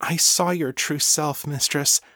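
Servile female thrall for fantasy audiobook, played by a man: lifted pitch, earnest, worshipful, self-effacing. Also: fairly even intonation, unhurried, bright, forceful tone, no echo, clean studio sound. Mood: adoring, reverent. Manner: earnest, reverent, submissive.

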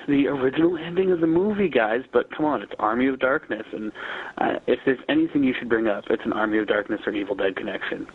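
A hoarse man with a cold, leaving an eager, opinionated voicemail to a podcast as a fan. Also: mid-range voice. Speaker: caller, listener. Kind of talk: recorded voicemail message. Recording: tinny phone line.

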